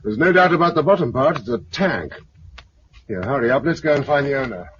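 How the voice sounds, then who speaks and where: Male actor as guarded British farm leader, 1950s radio drama, firm, dry, animated intonation, muffled old recording. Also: medium pitch, fairly quick, a little hiss or hum, lightly acted. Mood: kindly, brisk. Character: wary, stubborn husband, organizer of a farm community.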